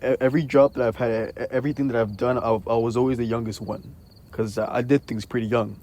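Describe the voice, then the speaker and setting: A man's voice: medium pitch, fast talker. A twenty-one-year-old man in casual, unscripted conversation, often repeating the starts of words as he puts questions and thoughts together. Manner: stammering, repeated word starts. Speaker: conversation host asking questions. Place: outdoors in an empty parking lot. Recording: steady outdoor insect noise. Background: crickets and night insects.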